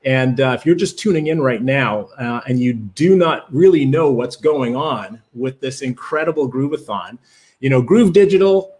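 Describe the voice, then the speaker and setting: A man with a Canadian accent, born in Jamaica, hosting a live webinar, talking with enthusiasm and many fillers while greeting viewers from the chat. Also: mid-range voice. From Jamaica, raised in Canada. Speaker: livestream presenter.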